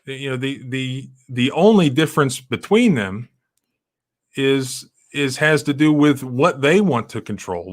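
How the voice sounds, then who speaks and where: A man's voice: medium pitch, fairly bright tone. Middle-aged male host, rambling and emphatic in casual commentary. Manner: rambling, many restarts and fillers, emphatic. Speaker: civil rights lawyer hosting a live stream. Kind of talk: casual live-stream commentary.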